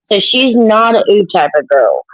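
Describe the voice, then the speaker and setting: A woman's voice: medium pitch, sing-song, a little slow, dry recording, poor audio. A woman, telling rambling, joking stories about her kids in casual chat. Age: 30s.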